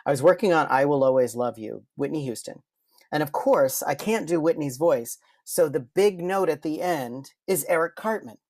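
A man's voice: fairly high pitch, expressive, moderate pace, darkish tone, no room sound, studio quality. A man speaking as a podcast guest, explaining his craft in casual conversation and often interrupting himself with a new thought. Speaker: guest, voice impressionist and performer.

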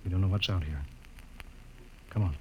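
A man's voice: low-pitched, normal speed, muffled recording, lightly acted. A man acting in a classic radio drama as a level-headed, commanding spaceship captain, clipped and authoritative. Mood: wary command. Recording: noisy old radio drama recording.

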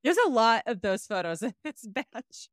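A young woman hosting a casual podcast chat, swearing freely, excitable and quick to apologize. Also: fairly high pitch, no echo, studio quality. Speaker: podcast host presenting research.

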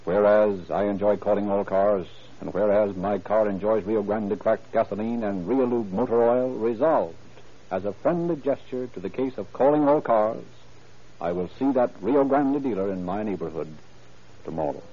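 A man's voice: lowish voice, normal speed. A man announcing and narrating in a formal, polished, scripted 1930s radio style. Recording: muffled old radio recording with faint music.

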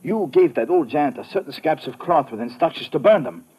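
An actor playing a suspicious, imperious village mayor in a radio drama, accusing people. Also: male voice, a little high, animated, brisk, darkish tone, slightly rough. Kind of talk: old-time radio drama acting. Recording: noisy vintage radio recording with clicks.

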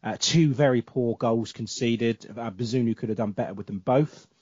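A man's voice: mid-range voice, moderate pace, a little mellow, husky, no room sound, highs cut off. A man hosting a casual football podcast, chatty and full of fillers, with a sore throat and cough. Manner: chatty, filler-heavy, self-correcting. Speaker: podcast host.

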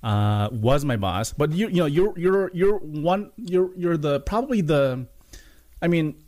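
A man's voice: fairly high pitch, expressive, a little slow, a little mellow, dry recording. A man speaking as a podcast host, introducing the show and chatting with his guest. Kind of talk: podcast intro and interview chat.

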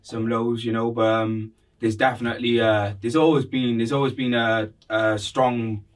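A man's voice: mid-range voice, little pitch movement, moderate pace, distant mic. A man from Cardiff with a Welsh accent, chatting casually and telling stories in informal, slangy, repetitive phrasing as a podcast guest. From Cardiff, Wales. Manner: informal, slangy, repeats phrases, frequent 'like' and 'bro'. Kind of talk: casual conversational storytelling.

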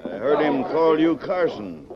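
An actor playing a confident, folksy sheriff with authority in an old radio western. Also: male voice, a little high, sing-song, a little slow, a crowd in the background. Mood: kindly. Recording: dull, very noisy vintage radio recording.

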